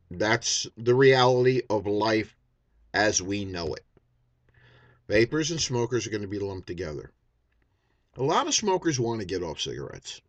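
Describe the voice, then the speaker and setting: A man's voice: medium pitch, no room sound, dull audio. A man in his fifties talking bluntly in an unscripted vlog, swearing often and restarting his sentences. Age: 50s. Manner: blunt and profane, with many restarts and fillers. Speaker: vaping YouTuber.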